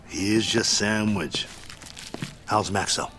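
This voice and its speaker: A man's voice: fairly low pitch, takes it slowly, very noisy. An actor playing an eager, down-on-his-luck male fight manager who talks in a hopeful, pleading way, in a radio drama. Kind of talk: 1960s-style radio drama acting.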